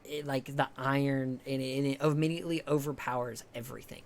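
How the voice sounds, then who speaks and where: A young man from the with a higher-pitched voice, chatting casually with his stream viewers, rambling, swearing a lot and restarting often. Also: fairly even intonation, fairly quick. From American South. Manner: rambling, heavy swearing, many restarts and fillers.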